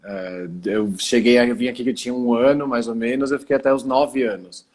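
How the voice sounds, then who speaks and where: Calm Italian-born man on a phone call. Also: medium pitch. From Italy. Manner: calm, explanatory, pauses often to gather thoughts. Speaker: guest, cultural center founder. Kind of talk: casual video call interview.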